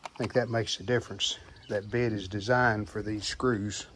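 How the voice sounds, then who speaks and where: A man's voice: fairly low pitch, steady tone, normal speed, fairly bright tone. Plainspoken middle-aged man working outdoors. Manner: plainspoken, thinking aloud while working. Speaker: DIY channel host. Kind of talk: casual how-to narration. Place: outdoor concrete basement stairwell. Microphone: camera mic, sometimes far from camera. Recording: outdoor camera audio, birds and ambient noise. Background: outdoor ambience with birds.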